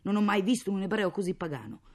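A woman's voice: deep voice, fairly even intonation, normal speed, warm tone. A woman voicing a poor, harried mother who nags and scolds sarcastically but can turn tender, in a dramatic story reading. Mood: scolding. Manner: nagging, sarcastic, exclamatory.

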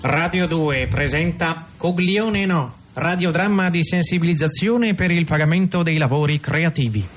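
An actor playing a formal, mock-solemn radio announcer introducing a parody campaign. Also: male voice, a little high, moderate pace, close to an everyday voice. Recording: clear music behind the voice.

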